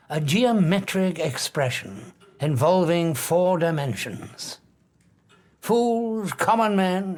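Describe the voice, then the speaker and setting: Obsessive grandiose scientist, audiobook drama. Emphatic, deliberate lecturing with dramatic pauses, slightly high voice. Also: male voice. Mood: grandiose, scornful. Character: obsessive, grandiose male scientist, arrogant and intense.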